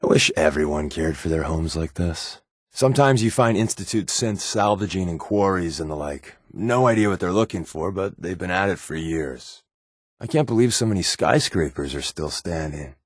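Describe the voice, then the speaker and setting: An American actor playing a sardonic, wisecracking secret agent companion in a video game, with a wry, offhand delivery. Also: male voice, deep voice, expressive, moderate pace, dry recording, studio quality. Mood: dry, joking.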